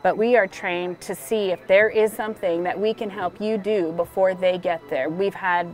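A woman's voice: mid-range voice, fairly even intonation, normal speed, darkish tone. A middle-aged American woman speaking earnestly and plainly in an interview as a supervising dispatcher. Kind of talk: candid documentary interview about work. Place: dispatch center office, indoors. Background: music.

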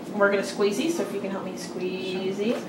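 A woman's voice: medium pitch, steady tone, fairly quick, background noise. Chatty woman in a home kitchen. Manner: chatty, upbeat, loose instructions. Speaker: cooking show host. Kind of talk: casual cooking demo. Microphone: far camera. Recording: echoey kitchen from far off, clattering dishes.

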